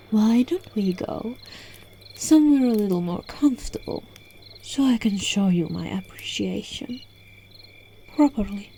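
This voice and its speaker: Woman playing a slow, seductive, controlling witch. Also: warm tone, a little hiss or hum. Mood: seductive, coaxing. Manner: slow, coaxing, breaking into whispers and giggles. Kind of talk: ASMR roleplay. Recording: phone microphone recording, low quality.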